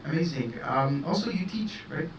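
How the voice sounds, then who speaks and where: A man interviewing a director, enthusiastic, asking halting, restarting questions. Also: fairly high pitch, animated. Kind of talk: festival interview. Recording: roomy, distant mic with noise.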